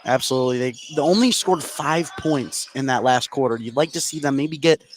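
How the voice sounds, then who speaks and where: A man's voice: medium pitch, fairly quick, a little hiss or hum. A man giving conversational basketball analysis as a color commentator during a live broadcast. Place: indoor school basketball gym.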